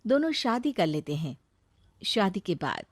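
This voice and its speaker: Woman storyteller reading a scripted tale, mid pitch, very dry audio. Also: big pitch swings, a little slow, no echo. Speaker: story narrator.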